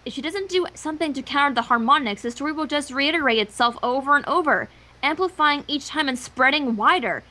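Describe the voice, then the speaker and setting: A woman's voice: high voice, brisk, pushed and bright. A woman voicing a brainy, bossy little female unicorn who explains things wordily, in a cartoon story reading. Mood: explaining. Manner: wordy, explanatory.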